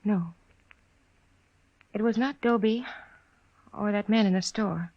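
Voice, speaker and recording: A woman playing a weary, gentle, plain-spoken frontier wife with halting, careful speech in a western radio drama. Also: mid-range voice, no room sound. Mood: earnest, subdued. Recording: dry, slightly dull old radio recording.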